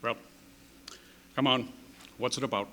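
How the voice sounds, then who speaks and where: An actor in a radio drama re-enactment playing a terse, steady police detective with a clipped, matter-of-fact delivery. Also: male voice, mid-range voice, measured pace, a little hiss or hum. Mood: calm, probing. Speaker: actor in a staged radio tribute.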